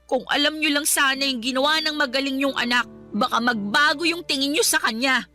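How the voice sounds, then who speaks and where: A meek young Filipina niece in a radio drama, very high and bright in voice. She speaks politely and hesitantly, like someone wronged who must plead, over soft music. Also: fairly quick. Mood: hurt, resentful.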